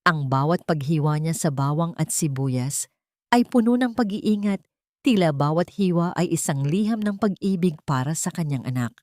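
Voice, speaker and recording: A woman narrating a scripted dramatic audio story in a calm, measured, solemn way. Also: deep voice, no room sound, clean studio sound.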